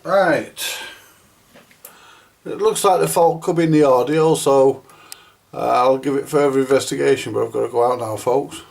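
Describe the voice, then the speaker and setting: A man's voice: medium pitch, moderate pace, gravelly, darkish tone. A man giving casual, off-the-cuff commentary as he presents his radio repair, chatting informally to viewers.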